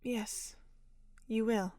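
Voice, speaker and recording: A woman playing a calm, motherly pony doctor in an audio drama, gentle and deliberate. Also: fairly high pitch, dry recording, studio quality. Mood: reassuring, solemn.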